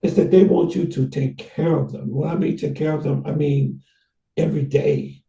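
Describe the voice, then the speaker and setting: A man hosting a casual conversation, rambling and halting with many restarts. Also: on the high side, steady tone, vocal fry, warm tone, roomy sound, far from the mic, poor audio. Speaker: host.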